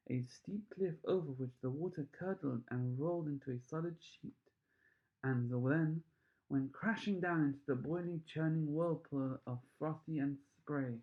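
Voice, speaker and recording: Male storybook reader, fairly high voice, expressive and emphatic delivery, slightly dull lo-fi recording.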